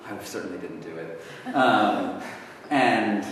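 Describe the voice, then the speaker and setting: Male speaker, low voice, halting Q&A answers, distant mic in reverberant hall. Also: a bit bright. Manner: halting, many restarts, casual. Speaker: guest speaker answering audience questions. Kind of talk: lecture Q&A. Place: university lecture hall. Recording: echoey hall from far off, faint noise.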